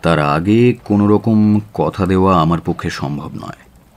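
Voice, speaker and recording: Deep-voiced, authoritative male detective. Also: darkish tone. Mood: formal, reserved. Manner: deliberate, emphatic, authoritative. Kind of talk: radio drama acting.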